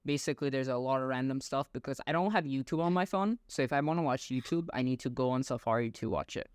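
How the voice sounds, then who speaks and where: A young man from Bangladesh, hosting a casual chat in a relaxed, thinking-aloud way. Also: medium pitch, fast talker, smooth, no echo, clean studio sound. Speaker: podcast host. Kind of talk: casual online interview chat.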